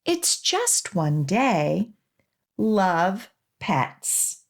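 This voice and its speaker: A woman's voice: mid-range voice, very animated, drawn-out words, exaggerated voice. A woman voicing earnest pets pleading politely in a children's storybook. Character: earnest pleading school pets writing a note.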